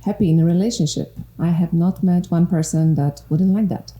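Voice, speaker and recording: A woman in her forties from Poland, a relationship coach speaking as a guest in a casual podcast chat, earnest and quick to laugh. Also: on the low side, background noise. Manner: warm, earnest, laughs often. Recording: echoey room.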